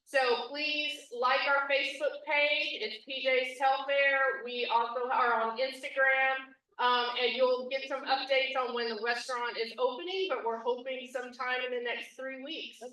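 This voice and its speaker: A loud woman, a business owner, making an eager announcement at a community meeting. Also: high-pitched, fairly even intonation, unhurried, hardly any pauses. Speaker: restaurant owner. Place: meeting room. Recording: very echoey room from far off.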